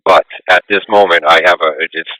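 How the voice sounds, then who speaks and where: A man working as a police dispatcher, talking over the radio in a halting, casual way as he thinks aloud. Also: sits low, fairly even intonation, sharp tone, dry recording, low-quality recording. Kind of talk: informal police radio traffic.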